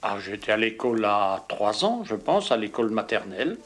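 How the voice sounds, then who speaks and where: A man in his seventies, a native Breton speaker, giving measured, formal interview answers. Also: fairly low pitch, very animated, a bit bright. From Brittany. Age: 70s. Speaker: interviewee, retired print worker.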